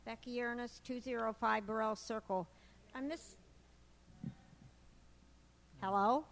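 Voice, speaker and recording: A woman resident at a community meeting, asking loud, pressing questions. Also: on the high side, steady tone, takes it slowly, creaky. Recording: reverberant hall, faint background.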